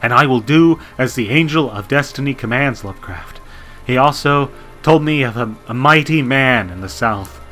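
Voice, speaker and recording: A man's voice: mid-range voice, expressive, normal speed, bright, forceful tone, soft background music, voice pushed up. A man playing a distraught, devout president in an audio drama, stammering and quavering with melodramatic fervour. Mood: frantic resolve. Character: distraught, fanatically devout male president, easily overwhelmed. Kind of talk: melodramatic radio drama acting.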